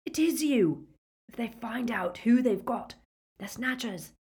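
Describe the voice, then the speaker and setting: A woman voicing a teenage boy prisoner in an audiobook, hushed, startled and urgent. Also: on the high side, animated, unhurried, slightly rough, voice pushed up, heavily acted. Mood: urgent, surprised.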